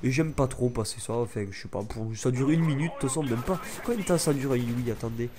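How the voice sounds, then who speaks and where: A man's voice: mid-range voice, fast, a crowd in the background. A young man from France with a stuffy head cold, rambling chattily over his gameplay with many fillers. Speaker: gaming YouTuber. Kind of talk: gameplay commentary.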